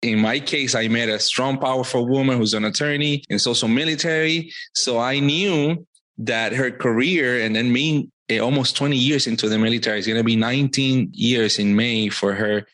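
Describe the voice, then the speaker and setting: A man's voice: medium pitch, normal speed, fairly bright tone. Man talking earnestly. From Puerto Rico. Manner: earnest, reflective, uses fillers. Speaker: podcast guest. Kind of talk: casual interview talk.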